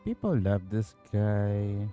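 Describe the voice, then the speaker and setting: A game streamer giving casual, deadpan commentary while playing. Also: sits low, takes it slowly, soft background music.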